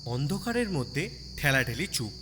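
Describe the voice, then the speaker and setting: A man's voice: mid-range voice, very animated, normal speed, sharp tone, slight background noise. A man narrating a scripted story expressively, as an audiobook storyteller would. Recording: long reverb over music bed. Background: music and cricket sounds.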